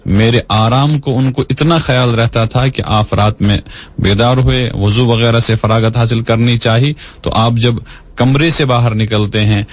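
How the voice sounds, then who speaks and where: A man working as an Islamic scholar, answering questions in a measured, explanatory way with formal diction. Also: mid-range voice. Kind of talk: religious question-and-answer talk.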